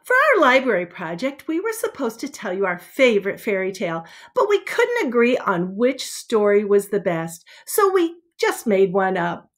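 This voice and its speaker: A woman speaking as a school librarian, in an expressive read-aloud style for children. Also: mid-range voice, moderate pace, few pauses.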